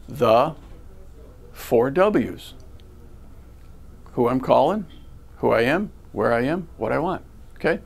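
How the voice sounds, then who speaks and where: An American man in his sixties with a medium-pitched voice delivers an instructional lecture. He speaks very slowly and deliberately, taking things step by step like a ground school teacher. He is recorded on a lapel mic in a studio. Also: creaky. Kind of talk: instructional lecture to camera with rhetorical questions. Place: indoor video studio. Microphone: lavalier clipped to shirt.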